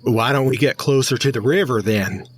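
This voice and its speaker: A medium-pitched, domineering male pioneer, played by an actor, curt and quick to bristle. He orders his family about in a historical audio drama. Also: slightly rough, faint music behind, close to an everyday voice. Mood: bossy. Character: bossy, hot-tempered pioneer husband and father.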